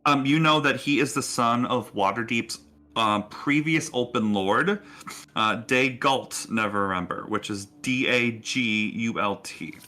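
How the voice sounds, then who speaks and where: A man's voice: medium pitch, a little slow, sharp tone. A man narrating a tabletop roleplaying game in a casual way as the game master.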